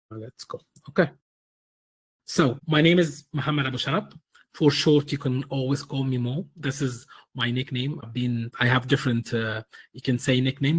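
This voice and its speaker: Husky-voiced man presenting a webinar. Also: mid-range voice, no echo. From Egypt. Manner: halting, many fillers and restarts. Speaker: webinar presenter, tax expert.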